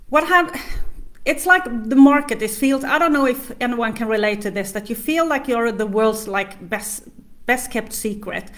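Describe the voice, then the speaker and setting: Woman, Swedish accent, raised pitch, chatty live-stream coach, lots of fillers. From Sweden. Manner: chatty, many fillers, greets viewers by name. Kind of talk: casual live-stream talk to viewers.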